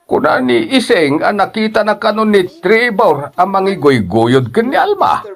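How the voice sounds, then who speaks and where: A man with a Filipino accent playing a gentle, fretting elderly parent in an audio drama. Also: high voice, sing-song, moderate pace, creaky, full character voice. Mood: alarmed.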